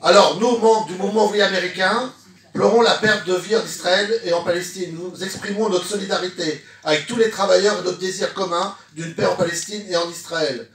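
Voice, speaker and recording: A man's voice: high-pitched, fairly even intonation, a little fast, fairly bright tone. A man speaking as a trade unionist at a public meeting, recorded from a distance in an echoey room. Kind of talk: public meeting speech, partly reading a statement aloud.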